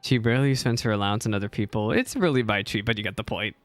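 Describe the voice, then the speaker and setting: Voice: mid-range voice, soft background music. Chatty game streamer reacting. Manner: chatty, quick to laugh and cheer on characters. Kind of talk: casual live reaction commentary.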